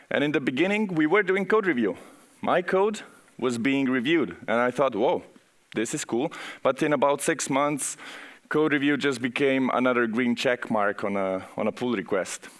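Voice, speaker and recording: A man with a Slovenian accent presenting at a conference in an informal, conversational way, speaking directly to the audience. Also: medium pitch. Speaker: conference speaker, software architect. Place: conference session room, on stage.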